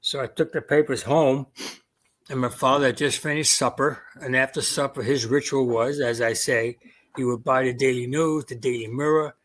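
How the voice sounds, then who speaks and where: An elderly man with a Queens New York accent tells old family stories in an easy, conversational way. German was his first language, and his pitch sits in a middle range. He often pauses with hesitations and acts out the voices of his parents. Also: fairly even intonation. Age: 80s. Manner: reminiscing, quoting family dialogue with hesitations. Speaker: veteran guest. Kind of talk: casual interview storytelling.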